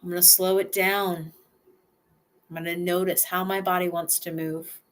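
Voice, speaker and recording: A woman with a lowish voice leads a relaxation exercise. She talks slowly and softly, narrating her own breathing and movement as she goes, with sighs between phrases. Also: level tone. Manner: slow, soft, first-person self-guiding with sighs. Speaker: somatic exercise guide.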